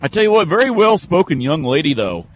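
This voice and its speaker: A man's voice: a little high, very animated, quick pace. A man co-hosting a sports radio show in a folksy, casual, conversational way.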